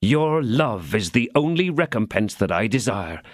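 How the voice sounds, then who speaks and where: A man voicing an ardent young male swordsman who flatters with theatrical, sentimental pleading. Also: lowish voice, measured pace, no echo, studio quality.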